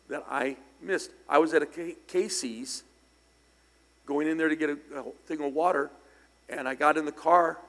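Middle-aged male preacher, hoarse and gravelly, a touch high-pitched, anecdotal delivery with sudden loud peaks. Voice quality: raspy. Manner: conversational, anecdotal, bursts of loud emphasis. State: slightly hoarse from singing. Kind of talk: sermon. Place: church sanctuary. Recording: long hall reverb in church.

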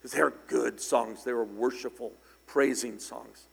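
A middle-aged man preaching a sermon in church, slightly hoarse and raspy from singing, conversational with loud bursts of emphasis. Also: mid-range voice, steady tone, measured pace. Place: church sanctuary. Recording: long hall reverb in church.

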